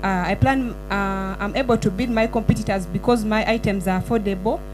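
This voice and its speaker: Ugandan woman giving a startup pitch. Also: steady tone, fairly quick, over music. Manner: earnest, with small slips and self-corrections. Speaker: entrepreneur pitching at a summit.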